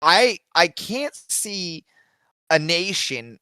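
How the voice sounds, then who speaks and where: A man's voice: high-pitched, very animated, very slow, sharp tone, clean tone, no room sound. A man from Alaska on a panel, rambling through his points with lots of fillers and "right?". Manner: rambling, keeps adding "right?", many fillers. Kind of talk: heated panel discussion on a live stream.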